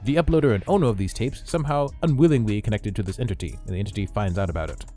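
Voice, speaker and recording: A man's voice: fairly low pitch, very fast, dark tone, soft background music. A man co-hosting a video essay, reading scripted commentary. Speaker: video co-host.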